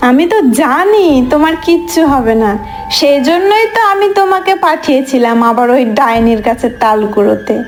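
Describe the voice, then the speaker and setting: A woman's voice: high-pitched, animated, faint music behind. A woman doing a bossy, scheming cartoon wife. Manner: brisk, scheming and commanding. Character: clever, bossy young wife. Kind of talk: cartoon voice acting.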